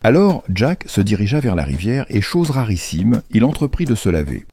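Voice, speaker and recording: A man's voice: on the low side, very animated, moderate pace, mellow. A man narrating a scripted children's fairy tale as storyteller. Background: faint music.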